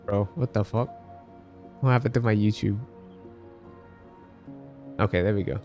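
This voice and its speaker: A man's voice: on the low side, unhurried, darkish tone, muffled recording. A man from the Philippines livestreaming a game, chatting casually with his viewers. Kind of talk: casual chat with live viewers while gaming. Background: game music.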